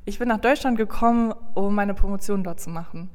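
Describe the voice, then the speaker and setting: A woman's voice: mid-range voice, a little fast, a little mellow. Colombian-born woman in echoing room. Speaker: interviewee, lawyer. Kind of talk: read interview answers.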